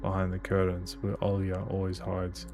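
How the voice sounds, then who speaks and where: A man's voice: sits low, monotone, normal speed, gravelly, music in the background. A man reading a visual novel's narration and dialogue aloud as a gaming host.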